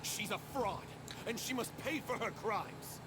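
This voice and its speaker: An actor playing a vengeful, scheming villain in a video game stage play, declaiming with menace. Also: male voice, high voice, animated, a little slow, heavy background noise. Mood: accusing. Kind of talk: theatrical video game acting.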